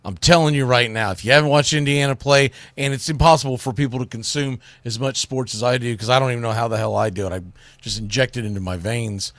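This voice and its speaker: A man's voice: medium pitch, fast talker, a bit bright, no room sound, clean studio sound. A man hosting sports radio, giving opinionated college football commentary in a blunt, emphatic, colloquial way.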